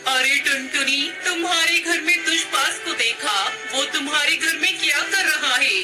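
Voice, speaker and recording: A woman's voice: sits high, moderate pace, music in the background, close to an everyday voice. A woman voicing an outspoken, cautious female crow neighbour in a children's cartoon. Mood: alarmed warning. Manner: admonishing, frank. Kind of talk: Hindi cartoon moral story acting.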